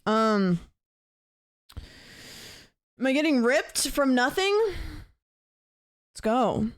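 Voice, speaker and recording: A woman's voice: on the high side, lively intonation, drawn-out words, dry recording, studio quality. A woman in her late twenties, a streamer chatting casually with her viewers, rambling with lots of fillers. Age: late 20s.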